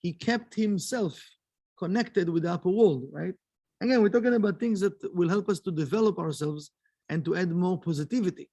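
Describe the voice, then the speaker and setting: A man's voice: high voice, a little fast, dark tone. A man teaching a kabbalah study lesson, earnest and repeating phrases for emphasis. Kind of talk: early morning study lesson.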